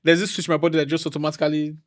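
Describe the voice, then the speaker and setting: A man from Nigeria with a Nigerian accent, a real estate professional chatting fast and laughing often as a podcast guest. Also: a little high. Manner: fast-talking, laughs often, animated storytelling. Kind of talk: casual podcast chat.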